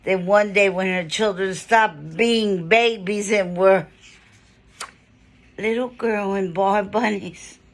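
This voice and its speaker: A woman reading a children's storybook aloud in short phrases with pauses, now and then adding casual asides. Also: medium pitch, steady tone. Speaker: storybook reader.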